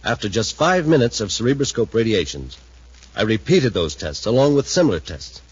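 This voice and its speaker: Husky, earnest low-voiced scientist. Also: male voice, big pitch swings, a little fast, no echo. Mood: hesitant, intent. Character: earnest, guarded scientist, authority on the mind. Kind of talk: 1950s radio drama acting. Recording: dull 1950s radio recording.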